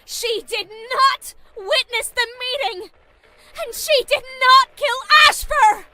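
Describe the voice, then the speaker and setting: A woman in an animated fan dub voicing a distraught warrior cat, frantic and fiercely defensive of her kin. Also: slow pace, full character voice. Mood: frantic, outraged. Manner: shrill, repetitive, frantic shouting. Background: music.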